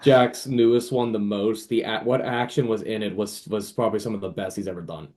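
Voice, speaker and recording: A man's voice: fairly low pitch, fairly even intonation, a little fast, a little mellow. A man in a casual podcast conversation about books, talking in an informal, fannish way. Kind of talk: casual podcast book chat.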